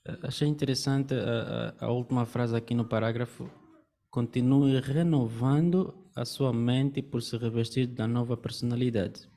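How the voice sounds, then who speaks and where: A man's voice: mid-range voice, steady tone, moderate pace, creaky, dark tone. A man giving a reflective comment at a religious study meeting, explaining his thoughts with rhetorical questions. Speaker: congregation member giving a comment.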